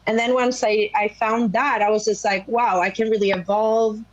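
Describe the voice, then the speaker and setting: Young swedish woman rock singer, raspy voice, rambly casual chat with lots of likes. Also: mid-range voice, flat delivery, normal speed, no room sound, muffled recording. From Sweden. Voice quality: naturally raspy voice. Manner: rambling, lots of "like" and "you know". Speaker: rock vocalist guest.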